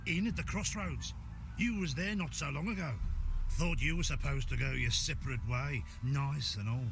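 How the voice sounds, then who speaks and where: An actor playing a rough, grudging and menacing sentry in a video game. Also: male voice, fairly high pitch, normal speed, fairly bright tone, a little hiss or hum. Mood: wary, threatening. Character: wary, grudging male sentry, rough and threatening. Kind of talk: video game cutscene acting.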